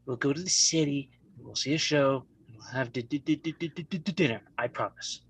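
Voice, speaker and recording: A man playing a calm, controlled young mafia boss in a script read, halting and stuttering heavily on consonants. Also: mid-range voice, husky. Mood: placating. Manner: halting, deliberate. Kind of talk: live table read of a crime film script.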